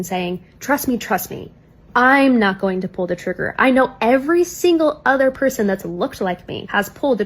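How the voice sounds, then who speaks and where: A woman with a husky voice giving explanatory commentary. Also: fairly high pitch.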